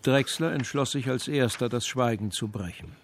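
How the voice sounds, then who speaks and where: A man's voice: mid-range voice, normal speed, slight background noise, close to an everyday voice. A man reading scripted narration as the narrator of a radio drama.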